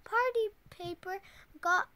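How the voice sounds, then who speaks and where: A woman's voice: very high-pitched, slow, pushed and bright, no room sound. A young girl reading a picture book aloud expressively, stumbling over words now and then. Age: child. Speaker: storytime host reading aloud to teddy bears.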